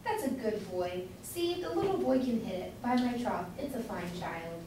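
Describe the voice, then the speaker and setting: A woman's voice: a little high, animated, moderate pace, dark tone, natural voice. A young woman acting a chatty, doting, meddling citizen's wife who gushes and interjects in a staged reading of a Jacobean comedy. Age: young adult. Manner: gushing, interjecting. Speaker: student actor. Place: indoor room, far from the mic. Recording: distant voice, very echoey room, faint noise.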